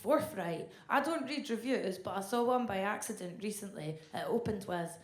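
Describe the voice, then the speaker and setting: A Scottish woman doing a blunt, deadpan stand-up set. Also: medium pitch, normal speed, echoey room. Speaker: stand-up comedian. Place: comedy club stage.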